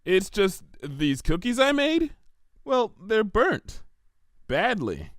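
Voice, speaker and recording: A man's voice: high voice, very animated, slow pace, pitched above the performer's own voice, exaggerated voice. Man doing a bookish, exasperated girl pony. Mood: apologetic. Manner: earnest, precise, weary. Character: bookish, exasperated, well-meaning young female pony.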